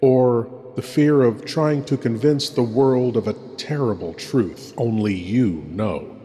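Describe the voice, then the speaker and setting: A man playing a suave, sinister horror host, intimate and menacing, delivering a dramatic old-time radio monologue with long pauses. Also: medium pitch, warm tone, lightly acted. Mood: ominous, hushed. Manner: slow, dramatic, pausing between phrases. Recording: echoey long reverb, background hiss.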